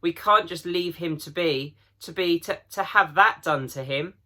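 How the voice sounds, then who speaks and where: A man's voice: fairly high pitch, measured pace, pushed and bright, pitched above the performer's own voice. A man voicing a brave, indignant boy in a children's storybook, protesting urgently and stumbling over words. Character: eager, indignant boy, brave elder brother.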